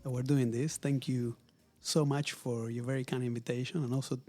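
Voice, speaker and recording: A middle-aged man from Argentina speaks with a noticeable Argentine Spanish accent, his voice warm and mellow. He talks through an interview in a measured, reflective way, pausing on fillers as he thinks. Spanish is his first language. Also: mid-range voice, level tone, soft background music. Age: 40s. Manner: measured, thoughtful, frequent um fillers. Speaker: retail executive guest. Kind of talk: interview conversation. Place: office at company headquarters.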